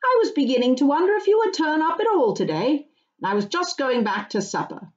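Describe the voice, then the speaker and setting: A woman's voice: sits high, big pitch swings, brisk, voice pushed up. A woman voicing a cheerful, teasing young male hobbit with a breezy manner, on slightly dull audio.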